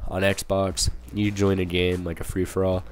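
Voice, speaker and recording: A young man with a cold, hoarse and a little raspy, rambling through casual gameplay commentary with many fillers and restarts. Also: low-pitched, completely flat delivery, normal speed, a little hiss or hum. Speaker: gaming channel commentator.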